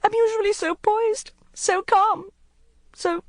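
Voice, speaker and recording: Female narrator does a teary, high-strung young woman, pitched way up, dry close audiobook sound. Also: fairly even intonation, takes it slowly, no echo. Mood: agitated. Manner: high, tearful, pleading. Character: distressed young woman, emotional and restless.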